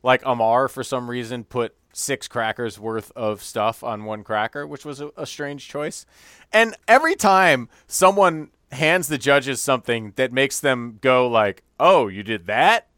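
A man co-hosting a podcast, chatting casually about a cooking show, animated and quick to laugh at his own jokes. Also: medium pitch, clear, resonant tone, no room sound, studio quality. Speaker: podcast co-host. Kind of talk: casual chat.

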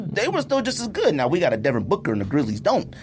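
An American man hosting a podcast, talking sports casually in an animated, emphatic, exasperated rant with a husky voice. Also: high voice, quick pace, a little hiss or hum. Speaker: sports and sneaker podcast host.

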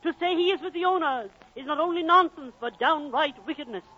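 An actress playing a fierce, loving miner's wife in an emphatic, declamatory 1940s radio style. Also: female voice, high-pitched, a little fast, crowd noise, natural voice. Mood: furious, defiant. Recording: dull old radio broadcast, faint audience.

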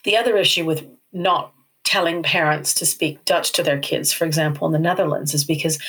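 A woman speaking as an expert guest, explaining things earnestly and returning again and again to her key points. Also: deep voice. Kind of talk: explanatory conversation.

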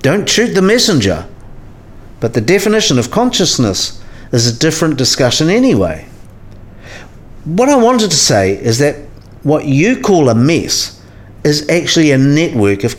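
A man's voice: a little high, very animated, unhurried. A man playing a learned, philosophical professor and patient mentor in a scripted radio play, with a professorial way of talking. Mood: explaining.

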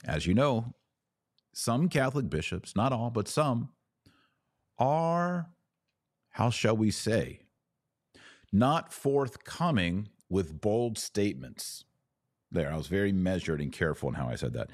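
Deep-voiced American man, dry close recording. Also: sing-song, slow pace, long pauses, dry recording. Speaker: podcast host and commentator. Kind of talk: opinionated church news commentary.

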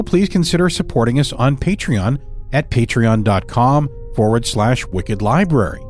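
A man with an American accent talking as a podcast host in a relaxed interview style. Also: medium pitch.

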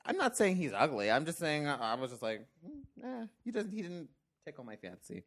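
A man's voice: a little high, wildly swooping pitch, a little fast. A man hosting a casual group podcast, playful and giggly. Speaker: podcast host.

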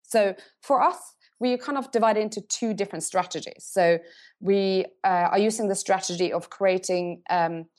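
A middle-aged woman speaking English, answering interview questions thoughtfully and often pausing to rephrase. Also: mid-range voice, a little mellow. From Norway. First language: Norwegian. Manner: thoughtful, pauses to search for words and rephrases. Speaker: agency CEO, guest.

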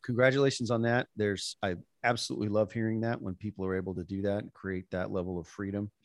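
Low-voiced man hosting a podcast. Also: quick pace, dry recording, clean studio sound. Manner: relaxed, with frequent fillers and restarts. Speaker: podcast host. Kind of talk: casual interview chat.

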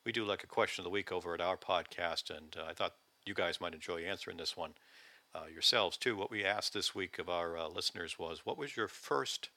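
A man's voice: low voice, brisk, pushed and bright, no room sound. A man leaving a casual, friendly recorded message as a fellow podcaster. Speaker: podcaster leaving listener feedback.

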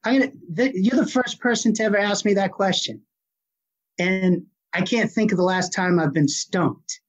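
A middle-aged speaker with a Boston accent, answering an interview question in a confident, forceful, passionate way. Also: mid-range voice, moderate pace. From Boston, USA. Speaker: guest, health advocate. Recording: dull lo-fi recording.

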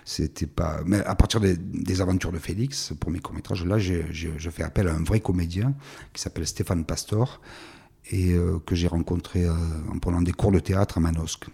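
Middle-aged southern French filmmaker, very low voice, halting delivery full of restarts. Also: male voice, fairly even intonation, gravelly, mellow, slightly rough. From southern France. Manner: halting, many restarts and fillers. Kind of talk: recounting his career.

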